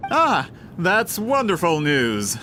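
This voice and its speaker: A man playing a courteous, earnest gentleman researcher with formal, florid speech in a video game. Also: sits high, huge pitch swings, takes it slowly, very noisy, exaggerated voice. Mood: delighted. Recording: music behind the voice.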